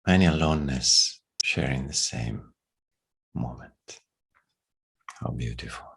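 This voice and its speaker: A man with an extremely low, very husky and breathy voice guides a meditation. He speaks softly and slowly, in a hushed manner, leaving long pauses between short phrases.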